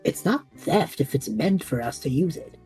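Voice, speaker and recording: A woman's voice: deep voice, lively intonation, moderate pace, dark tone, faint music behind. A woman voicing a cocky, careless male student in an audio drama, casual and flippant. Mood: scheming.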